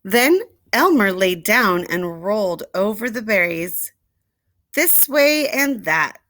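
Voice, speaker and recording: A woman storyteller reading a picture book expressively to young children, deliberately, with asides and questions to the listeners. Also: medium pitch, very animated, a bit bright, no room sound. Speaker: read-aloud storyteller.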